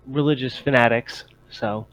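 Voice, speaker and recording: A man's voice: mid-range voice, steady tone, normal speed, fairly bright tone, close to an everyday voice, poor audio. A man voicing an easygoing, slightly perplexed spaceship contact in a sci-fi tabletop game, reasoning hesitantly aloud.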